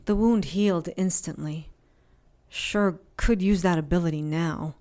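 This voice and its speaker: A woman's voice: fairly low pitch, unhurried, mellow, lightly acted. A woman playing a tough, wounded adventurer in an audio drama, telling her story in a wry, sardonic, colloquial way. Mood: wry storytelling.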